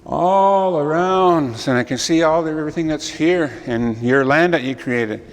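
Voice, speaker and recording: A man voicing an eager, excitable male owl in a told folk story, calling out high and insistent. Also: moderate pace, big-room sound, natural voice.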